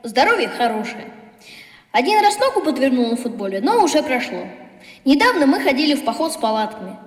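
High-voiced earnest schoolboy, in echoing reverb. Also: female voice, big pitch swings, fairly quick. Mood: earnest, wistful. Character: earnest, hurt schoolboy who fled summer camp. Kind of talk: radio play acting. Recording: roomy studio with long reverb.

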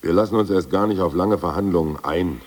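A blunt hardline party official. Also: male voice, deep voice, fairly quick, big-room sound. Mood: brisk. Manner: blunt, stubborn and scheming. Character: ousted male Politburo member, hardliner. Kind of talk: 1980s radio play acting.

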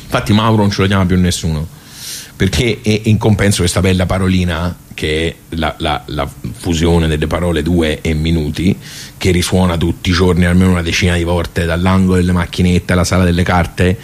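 A male actor plays a garrulous Tuscan man, a regular at the local social club, in a theatrical radio monologue. His voice sits low, and he rambles in homely colloquial speech, wry and rueful, putting questions and answering them himself. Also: flat delivery, brisk, a little hiss or hum, lightly acted. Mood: wry, chatty. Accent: Tuscan Italian.

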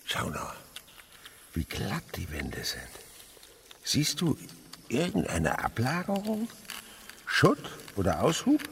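Old naturalist uncle in a radio play, swoopy gravelly voice, fascinated and gentle, hushed explaining. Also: male voice, mid-range voice, slow pace, pauses often, slight background noise, full character voice. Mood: enthralled, explaining. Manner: animated, hushed storytelling, explaining with wonder. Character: eager older male naturalist uncle, tender and fascinated by insects. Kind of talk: German radio play acting.

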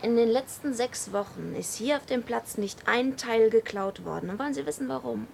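A woman's voice: on the high side, moderate pace, creaky, darkish tone. Tough female wrestler, blunt, streetwise, confrontational, radio drama, some background ambience noise. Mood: defiant, irritated. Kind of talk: naturalistic German radio drama acting.

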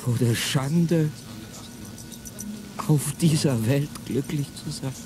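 A higher male voice, drawn out very slowly, plays a frightened, tormented man. He pleads in anguish as in a radio drama, with music clearly behind him. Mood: afraid, pleading. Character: fearful, tormented man pleading against his own betrayal. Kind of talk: slow dramatic radio play speech.

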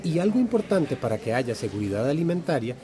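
A man's voice: mid-range voice, sing-song, quick pace, dark tone, natural voice. A man with a Central American accent playing an encouraging community workshop teacher in a radio drama, affirming answers and prompting with questions. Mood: affirming, explaining. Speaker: workshop facilitator. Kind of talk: radio drama acting as a lively classroom lesson.

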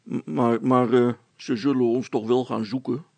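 A man's voice: medium pitch, steady tone, moderate pace, creaky, a little mellow, no room sound. A man voicing a simple, anxious village policeman in a storybook, hesitant and prone to repeating himself. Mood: worried. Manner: repetitive, hesitant.

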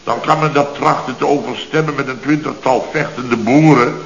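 An actor playing a blustering, indignant, pompous company boss in a radio comedy serial, speaking in mock-formal, long-winded phrases. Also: male voice, mid-range voice, fairly even intonation, moderate pace, vocal fry, a crowd in the background, lightly acted. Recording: roomy, dull old radio recording.

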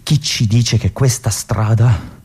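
An actor playing a lonely, obsessive army lieutenant in a stage monologue, musing aloud and swinging between brooding and feverish. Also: male voice, on the low side, slow pace, mellow, slightly rough, heavily acted. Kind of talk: theatrical monologue for radio.

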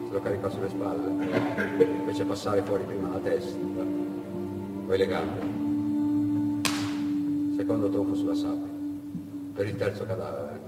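Male stage storyteller, intense measured narration, reverberant hall, music underneath. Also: on the low side. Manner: deliberate, intense, short measured phrases. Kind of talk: dramatic theatrical narration of a story. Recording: echoey hall, distant mic, music behind.

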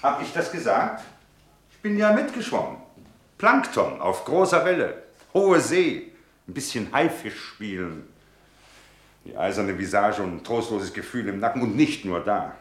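A man's voice: on the low side, big pitch swings, measured pace, roomy sound. An actor playing a bitter, disillusioned middle-aged businessman husband in a German radio drama, with a sarcastic, theatrical way of talking. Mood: bitterly self-mocking. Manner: sarcastic, rhetorical, theatrical delivery.

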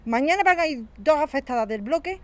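A woman's voice: high-pitched, expressive, fairly quick. A woman with an accent from Spain acting a tired, secretive, evasive character in radio soap dialogue. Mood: tense, stern. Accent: Spanish.

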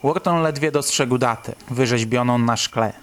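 A man's voice: mid-range voice, normal speed. A man reading scripted literary narration for a radio drama.

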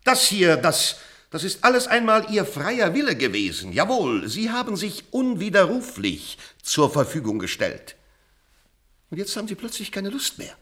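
An actor playing an impatient, patronizing doctor in a science-fiction radio play, mocking and blustering. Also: male voice, high-pitched, sing-song, moderate pace, pushed and bright, full character voice. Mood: exasperated. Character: condescending, impatient male doctor, an irritable overseer of minds.

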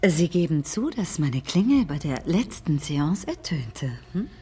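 An actress playing a defiant, vengeful spirit medium in a radio drama, taunting and venomous. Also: female voice, low voice, very animated, unhurried, mellow. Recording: music behind the voice. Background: music.

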